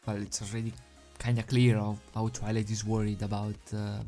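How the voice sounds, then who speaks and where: A man who is not a native English speaker, reading and commenting as an amateur in a halting, giggly, self-deprecating way. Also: fairly low pitch, little pitch movement, warm tone. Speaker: amateur fan fiction reader. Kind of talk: story reading with casual commentary. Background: background music.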